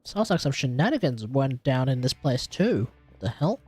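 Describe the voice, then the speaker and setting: Casual streamer, medium-pitched voice, joking slang, playthrough commentary, soft game music behind. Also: big pitch swings, normal speed, slightly rough, no echo. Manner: joking, laid-back slang.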